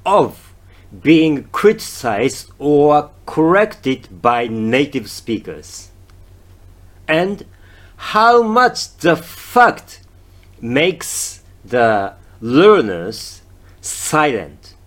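Japanese-accented guy in his 50s doing a solo podcast, slow and halting, lots of ums, voice goes up and down a lot. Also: fairly high pitch, sing-song. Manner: halting, frequent ums and restarts, stresses key words. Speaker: podcast host. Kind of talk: casual solo podcast talk in English.

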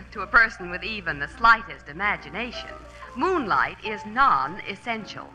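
A woman's voice: medium pitch, lively intonation, moderate pace, bright, forceful tone, low-quality recording. An actress playing a sardonic, jealous young American woman with arch, coy delivery in a 1940s radio drama. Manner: arch, dry, coy sarcasm. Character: sharp-tongued, sardonic, jealous young woman, photographer's sweetheart. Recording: vintage radio recording with music behind.

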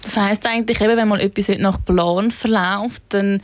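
A woman with a Swiss German accent interviews her guest in a casual way, often tagging questions onto the end of her sentences. She speaks at a mid pitch with very little melody. The sound is dry and close. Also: fairly quick, dry recording. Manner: flat, tag questions with "oder?".

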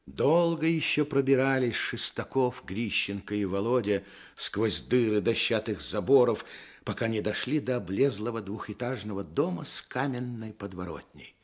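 A man's voice: lowish voice, expressive, moderate pace. A man playing the narrator of a radio adventure play, telling the story in dramatic scripted narration. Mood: suspenseful.

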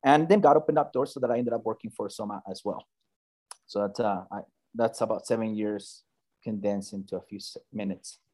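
A man's voice: lowish voice, brisk, a little mellow. Earnest man answering interview questions. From United States, Bay Area. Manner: earnest, many fillers and restarts. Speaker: church planter, guest.

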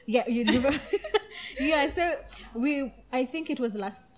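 A woman co-hosting a casual podcast chat, talking in a giggly, animated way. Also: sits high, a little slow. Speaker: podcast co-host.

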